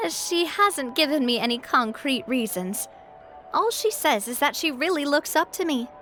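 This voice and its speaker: A confident young woman who leads a gang, upbeat but commanding. Her voice is high and very bright. Faint music sits under her lines. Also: expressive, unhurried. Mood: warm. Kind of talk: video game dub.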